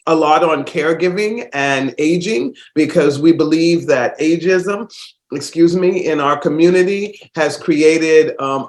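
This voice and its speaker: Stuffy-nosed man hosting, echoey room. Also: fairly high pitch. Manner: rambling, warm-hearted, many fillers and restarts. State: stuffed up with a cold. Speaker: host. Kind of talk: casual solo podcast monologue.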